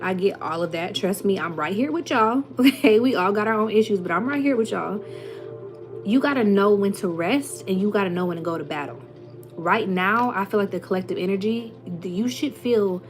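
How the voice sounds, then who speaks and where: Young woman hyping up her viewers, slangy and emphatic, soft music behind. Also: medium pitch. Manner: chatty, emphatic, slangy, acts out little voices. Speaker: spiritual content creator. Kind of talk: casual motivational talk to viewers.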